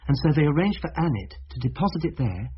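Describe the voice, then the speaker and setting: Inquisitive confidant, lively pitch. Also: male voice, fast. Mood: curious, reasoning. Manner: questioning, reasoning. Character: thoughtful, inquisitive male confidant of a detective. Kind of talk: radio drama acting. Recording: dull, faintly noisy radio drama recording.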